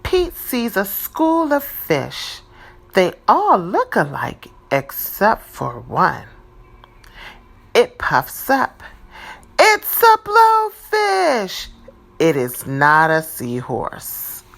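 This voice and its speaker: A woman reading a children's picture book aloud in a deliberate, expressive teacher's style. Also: medium pitch, gravelly. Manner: deliberate, animated, dramatizing for young children.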